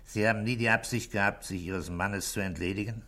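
A police chief inspector in a 1960s German radio drama. His voice is deep yet bright and forceful. He is patient and authoritative, putting short, probing questions and giving commands. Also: steady tone, fairly quick. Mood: pressing, skeptical.

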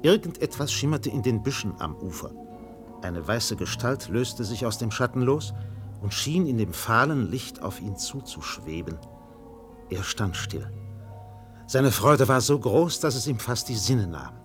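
Radio play narrator: grave literary storytelling, highly varied pitch, light music bed underneath. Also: male voice, very animated, a little slow. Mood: intense. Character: grave male literary narrator of a tropical tale.

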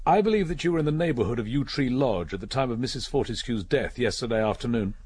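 An actor playing a shrewd, methodical English police inspector in a radio drama, measured and probing. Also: male voice, medium pitch, lively intonation, a little mellow, breathy edge. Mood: measured, pressing. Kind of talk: British radio drama acting.

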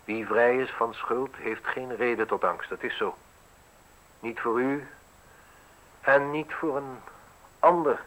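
An actor playing a self-assured, mocking man in his thirties in a radio drama, speaking deliberately with ironic, theatrical pauses. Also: mid-range voice, fairly bright tone, muffled recording. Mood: interrogating, smooth. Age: 30s. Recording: husky-sounding radio play recording.

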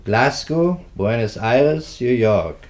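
An actor playing a determined, sardonic police investigator in a 1950s German radio play, speaking emphatically and bitingly. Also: male voice, medium pitch, lively intonation, takes it slowly, a little mellow. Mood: sardonic, threatening.

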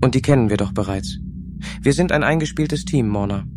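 An actor playing a rational, optimistic and somewhat dismissive space explorer in a science fiction audio drama. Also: male voice, mid-range voice, fairly quick, noisy background, close to an everyday voice. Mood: confident. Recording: music behind the voice.

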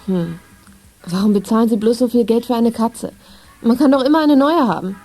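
A woman's voice: a little high, brisk, warm tone, faint music behind. An actress playing a cool, offhand, unsentimental young woman in a children's radio play. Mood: cool, dismissive. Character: Katrin, cool, unsentimental young woman, secret cat thief. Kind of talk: 1980s German children's radio play acting.

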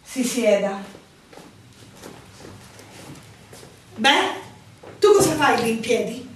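Melancholy innkeeper's wife, distant and echoey. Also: female voice, a little high, big pitch swings, unhurried. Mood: imperious. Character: melancholy innkeeper's wife, no longer young, devoted to lost lover. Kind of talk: stage-like radio drama acting. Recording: echoey room, distant mic, faint noise.

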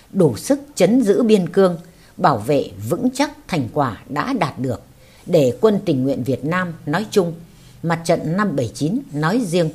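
A woman with a husky voice reading a war memoir as scripted audiobook narration. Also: low-pitched, a little mellow.

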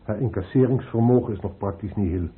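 Actor as a formal, firm doctor. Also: male voice, fairly low pitch, lightly acted. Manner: formal, measured, authoritative. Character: patient but firm, formal hospital doctor. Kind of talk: radio drama acting. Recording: tinny, band-limited old radio recording.

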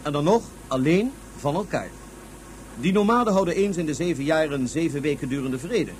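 An actor playing a courtly ruler of a small independent state on Mars, formal, halting and self-deprecating, in a science fiction radio drama. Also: male voice, fairly high pitch, a little mellow, slight background noise, lightly acted. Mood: philosophical.

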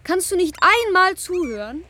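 High-voiced, reproachful teenage girl. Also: sing-song, moderate pace, sharp tone, slight background noise, exaggerated voice. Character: reproachful, dreamy teenage daughter, no longer a child. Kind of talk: radio drama acting.

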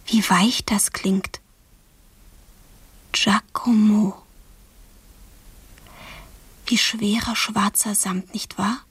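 A woman's voice: medium pitch, no echo, exaggerated voice. An actress playing a passionate, capricious young girl in a poetic radio drama, with a whispery voice and intense, dreamy phrasing. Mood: tender, entranced. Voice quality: breathy, whispery.

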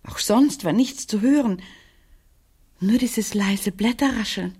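A woman playing a sad, guarded widow in a German radio crime drama, speaking gently in a hushed, hesitant, measured way. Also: medium pitch, breathy edge. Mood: haunted recollection.

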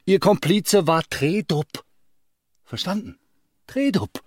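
A man's voice: high voice, lively intonation, takes it slowly, full character voice. An actor playing a scheming, impatient man in a German radio drama, insistent, cajoling and commanding. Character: scheming, impatient man pushing an accomplice into a false confession.

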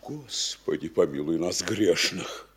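An actor playing an anxious, pompous older town governor who exclaims slowly in pious alarm in a radio play. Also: male voice, low-pitched, animated, a bit bright, husky, exaggerated voice. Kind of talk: stage radio play acting.

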